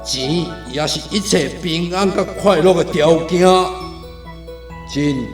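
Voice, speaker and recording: 回音繚繞的世故嚮導. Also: male voice, a little high, takes it slowly. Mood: assured, persuasive. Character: worldly, persuasive male guide to places of pleasure. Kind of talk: Taiwanese radio drama acting. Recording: echoey voice with music bed.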